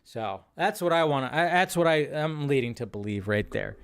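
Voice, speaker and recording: A man with a slightly high voice hosts a casual livestream, talking loosely with plenty of fillers and restarts. He reads viewer questions aloud and answers them in an offhand, chatty way. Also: expressive, normal speed, clean tone. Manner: rambling, filler-heavy, frequent restarts. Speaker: host of a film news livestream.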